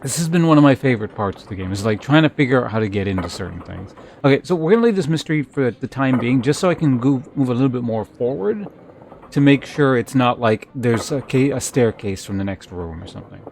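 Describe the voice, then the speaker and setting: A man chatting casually on a game livestream, thinking aloud in hesitant, trailing half-sentences with a gravelly fry to his voice. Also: mid-range voice, lively intonation, fast talker, a little mellow, slight background noise. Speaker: game streamer. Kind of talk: casual livestream gameplay chat. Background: faint game music.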